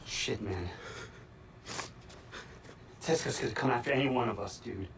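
A man's voice: mid-range voice, unhurried, gravelly, slight background noise. A man playing a shaken, worried young hacker in a video game, speaking casually with slang.

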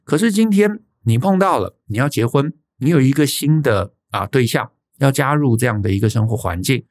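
A man's voice: mid-range voice, lively intonation, fairly quick. Chatty Taiwanese man hosting a podcast. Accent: Taiwanese Mandarin. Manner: chatty, emphatic, with frequent rhetorical tags and self-repetition. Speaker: podcast host. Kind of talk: conversational advice monologue answering a listener's letter.

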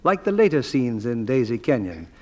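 A man's voice: mid-range voice, big pitch swings, moderate pace, mellow. A man hosting in a scripted 1940s radio style, introducing and chatting formally. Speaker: radio show host.

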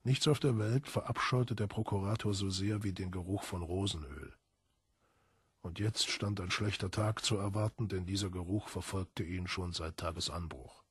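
A man narrating a literary audiobook in a husky voice, with solemn, measured, dramatic emphasis. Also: deep voice, no room sound, clean studio sound.

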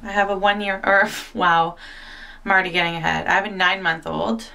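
A woman's voice: mid-range voice, steady tone, fairly quick, gravelly, bright, forceful tone. A young woman, a new mom, chatting casually to camera at home with lots of fillers. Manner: chatty and self-deprecating, many fillers. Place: home, indoors. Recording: voice a bit far from the mic.

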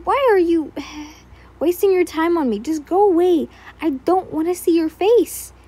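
A woman voicing a scared, hurt young person who shouts and sobs at a friend. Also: sits high, measured pace. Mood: defensive shouting. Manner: sobbing, defensive, shouting.

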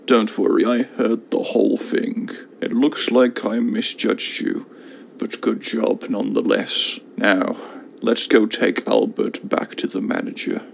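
A man's voice: lowish voice, level tone, measured pace, vocal fry, a little hiss or hum. A man voicing a courteous, earnest, formal steam engine in a cartoon. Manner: polite, earnest.

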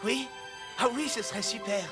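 A man's voice: high-pitched, normal speed, over music, lightly acted. A man voicing a lovesick young apprentice in a children's cartoon, hesitant and earnest. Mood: delighted. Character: lovesick, timid, gangly young male apprentice. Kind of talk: French-dubbed children's cartoon acting.

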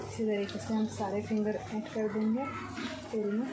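A woman hosting a cooking channel, calmly explaining a recipe step by step from her home kitchen. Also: medium pitch, moderate pace, noisy background.

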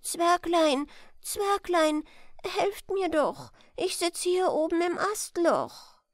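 A tiny lost girl from a storybook, played by a woman narrator. The voice is raised high and childlike, with a trusting, pleading manner. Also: big pitch swings, slow pace, dry recording, clean studio sound, heavily acted. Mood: calling, pleading.

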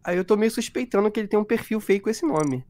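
A man's voice: high-pitched, lively intonation, quick pace, darkish tone. A man who has a cold, trading jokes and playful trash talk during a game call. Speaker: regular player. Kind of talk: casual gaming banter.

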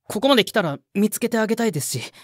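A man's voice: high-pitched, animated, very fast, fairly bright tone, no room sound, studio quality. A young man playing a timid, earnest junior sound worker in an audio drama, polite, hesitant and easily flustered. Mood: earnest, tentative. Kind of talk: audio drama acting with inner monologue. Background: background music.

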